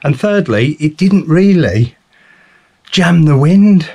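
A man's voice: on the high side, expressive, slow pace, darkish tone. A man, a motorcycle vlogger giving a casual, rambling gear review to camera, with frequent ums and restarts. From England.

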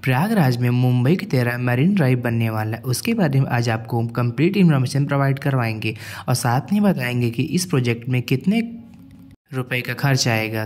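A man hosting his own channel, reading a formal local news update as a voice-over in a steady tone. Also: medium pitch. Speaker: YouTube channel host.

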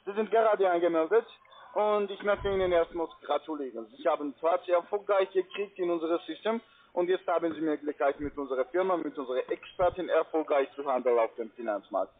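A man who is not a native speaker giving a formal, scripted sales pitch as a manager. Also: fairly quick. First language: not German. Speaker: call centre sales manager. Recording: narrow phone line.

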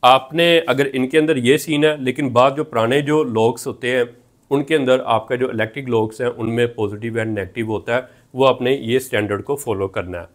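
A man's voice: mid-range voice, fairly even intonation, a little fast. A man in his thirties with a Pakistani accent, teaching methodically as a technical instructor and repeating each step. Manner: repetitive, methodical explaining. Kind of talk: instructional tutorial, explaining step by step. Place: indoor room at a whiteboard.

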